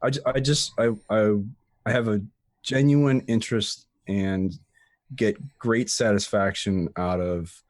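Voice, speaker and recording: A man with a fairly low voice speaks in a loose, conversational way, circling back and restarting his sentences often. The sound is dry and close, with no room around it. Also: steady tone, measured pace, no room sound. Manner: rambling with frequent restarts and fillers. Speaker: guest, tutorial creator. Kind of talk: casual interview chat.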